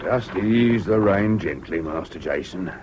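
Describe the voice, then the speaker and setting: Low-voiced, friendly countryman. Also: expressive, measured pace, highs cut off. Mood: friendly, deferential. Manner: easygoing, respectful. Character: friendly, deferential country horseman. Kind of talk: British radio drama acting. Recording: faintly hissy old radio recording.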